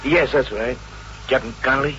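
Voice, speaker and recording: A man's voice: medium pitch, normal speed, a bit bright, natural voice. An American man playing a worried, apologetic young working-class father in a 1950s radio drama. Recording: dull vintage radio recording, faint noise.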